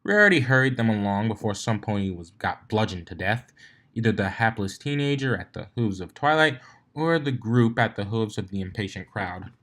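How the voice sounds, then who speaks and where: A man's voice: lowish voice, expressive, normal speed, a bit bright. A man reading a scripted story aloud as a fan fiction narrator.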